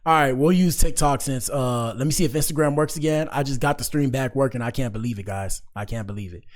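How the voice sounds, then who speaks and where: Man, American accent, medium pitch, livestream host, casual commentary, slangy, informal, direct to viewers. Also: fast talker, faint music behind. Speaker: livestream host reacting to clips.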